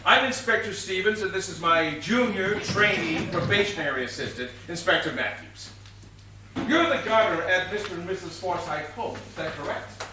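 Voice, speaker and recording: A man playing a bossy, sardonic and slow-witted police inspector in a comic stage play, exasperated and halting, with heavy emphasis. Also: sits high, animated. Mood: officious. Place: theatre stage, picked up from a distance. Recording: distant echoey theatre stage recording, faint noise.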